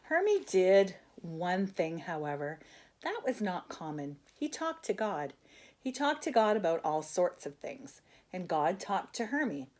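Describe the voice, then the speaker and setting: An older woman reads aloud to children with lots of expression. Her voice sits a little low for a woman but moves widely up and down, in the animated style of a grandmother's storytime. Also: a little slow. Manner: expressive, asks the listeners questions.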